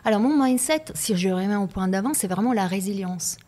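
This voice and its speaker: A woman's voice: mid-range voice, lively intonation, fairly quick, mellow. A woman with an Italian accent, a native Italian speaker, talking as a guest in a podcast interview, reflective and hesitant, often repeating words as she searches for them. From Italy.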